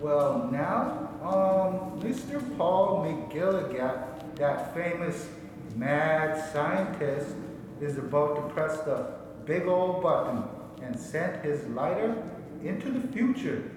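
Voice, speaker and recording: Guy playing folksy halting 1940s radio play inventor, highish voice, slow, way off in a big echoey hall. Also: lively intonation. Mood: earnest announcing. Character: Norman, folksy, wonderstruck amateur inventor of the 1940s. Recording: echoey hall, distant mic, noisy.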